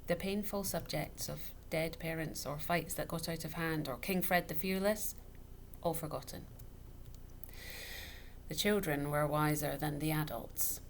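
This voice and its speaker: A woman reading a children's book aloud as scripted narration, with expressive, dramatic storytelling. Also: low voice, unhurried. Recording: noticeable background noise.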